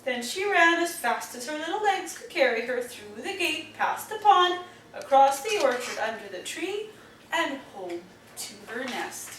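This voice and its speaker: A woman reading a picture book aloud to young children in an expressive, deliberate storytelling manner. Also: high voice. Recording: roomy, distant mic, faint noise.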